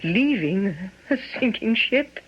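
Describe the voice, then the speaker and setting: A world-weary mistress looking after herself in a radio drama. She is husky and theatrical, drawing words out very slowly with big swoops. The recording is dull. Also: on the high side, animated, heavily acted. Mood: wry, defensive. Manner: theatrical, halting, drawn-out delivery. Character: world-weary, self-protective mistress, mother of teenagers. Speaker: actor.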